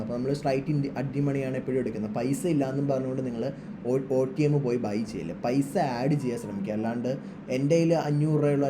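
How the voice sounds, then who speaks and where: A man presents an informal how-to tutorial at a brisk clip. His voice sits at an average male pitch, and he explains step by step, dropping English terms into his sentences. Clear background noise runs under the recording. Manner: instructional, mixes English trading terms into speech. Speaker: trading tutorial presenter, trader. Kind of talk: informal screen-share tutorial.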